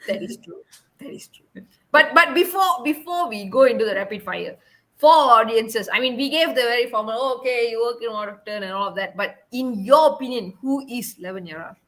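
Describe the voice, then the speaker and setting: A woman's voice: fairly high pitch, a little fast, fairly bright tone, rough recording. A young woman hosting an online interview in an upbeat, chatty way. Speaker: host.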